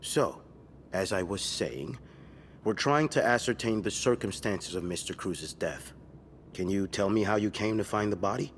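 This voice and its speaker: A man playing a deep-voiced, impatient detective. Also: animated, in a large hall, slight background noise, lightly acted. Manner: brisk, interrogating. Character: police detective, impatient and probing. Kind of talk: video game voice acting.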